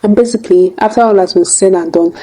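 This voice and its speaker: A woman's voice: mid-range voice, fast talker, warm tone, slight background noise, low-quality recording. A woman hosting a tutorial, narrating step by step in a casual way. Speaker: tutorial host.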